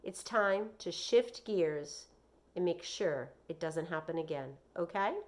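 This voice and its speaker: A woman reading a children's novel aloud, voicing a kindly, firm schoolteacher with a reassuring, measured manner. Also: lowish voice, expressive.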